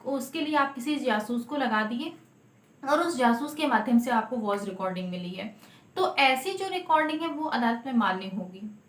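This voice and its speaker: A woman explaining law informally as an advocate, emphatic, often repeating and rephrasing her key points. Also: a little high. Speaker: lawyer presenting legal advice videos. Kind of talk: informal explanatory legal talk to camera. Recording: echoey untreated room.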